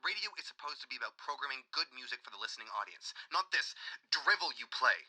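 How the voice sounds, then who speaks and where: A man's voice: medium pitch, very animated, fast, pushed and bright, heavily acted, low-quality recording. An actor playing a crotchety, indignant old male caller to a radio show, huffy and scolding.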